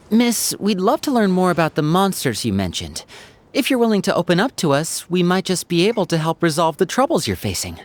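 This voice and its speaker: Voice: mid-range voice, sing-song, moderate pace, close to an everyday voice. An actor playing a polite, composed young traveler in a fantasy game, with a reassuring manner. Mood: composed, persuasive. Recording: music under the voice.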